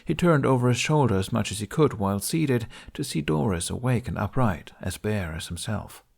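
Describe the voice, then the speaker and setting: A male audiobook narrator with a fairly low voice. He reads scripted fantasy fiction as a storyteller. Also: lively intonation, moderate pace, gravelly, husky.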